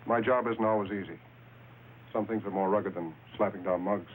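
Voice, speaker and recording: An actor playing a tough undercover customs agent, terse, dry and hard-boiled, in old noir radio style on a thin, hissy recording. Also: male voice, lowish voice, steady tone, moderate pace, narrow, tinny audio, natural voice. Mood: stern, cool. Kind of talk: mid-century film noir radio acting.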